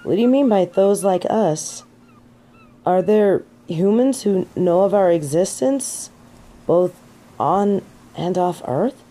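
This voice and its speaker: A proud, easily offended fallen angel, acted by a woman for an audio roleplay. The voice sits on the low side for a female, with a soft, dark colour. The delivery is slow and imperious, every formal phrase weighted with wounded superiority. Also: expressive. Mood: haughty, curious. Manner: pompous, formal archaic phrasing, easily affronted. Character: snobby, haughty fallen angel woman in indignant denial. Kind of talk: scripted audio roleplay acting.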